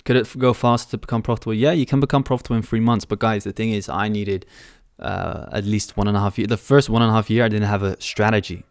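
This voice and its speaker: Low-voiced young man answering chat. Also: fairly even intonation, fast talker, hardly any pauses, no room sound, studio quality. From born in London. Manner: casual, reads out chat questions and answers offhandedly. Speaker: trading livestream host. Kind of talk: casual live-stream Q&A. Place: small room indoors. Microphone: headset.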